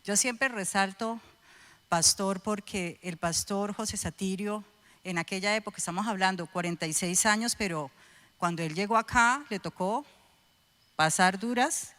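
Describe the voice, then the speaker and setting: Guest church speaker: middle-aged Colombian woman, medium-pitched voice, emphatic and deliberate testimony delivery. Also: a bit bright. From Cúcuta, Colombia. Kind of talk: personal testimony speech to a congregation.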